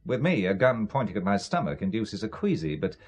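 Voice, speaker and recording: A wry, husky reluctant spy. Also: male voice, lowish voice, sing-song, brisk, a little mellow, muffled recording. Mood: dry, wary. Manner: sardonic, dry, wary. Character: wry, sceptical businessman reluctantly drawn into espionage. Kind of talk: radio spy drama acting.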